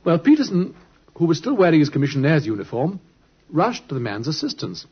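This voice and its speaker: An actor with a British accent playing a brisk, absorbed, genial gentleman detective in an old radio drama, speaking precisely and urbanely. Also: on the high side, heavily acted. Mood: absorbed storytelling. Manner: animated, precise, urbane storytelling. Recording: muffled, husky old radio recording.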